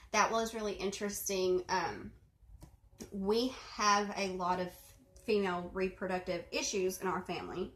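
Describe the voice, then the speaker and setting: Young woman, casual vlog chat, mid pitch, roomy echo, rambling with fillers. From Arkansas. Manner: chatty, many fillers, clears throat. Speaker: video host responding to a reading. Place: bedroom at home.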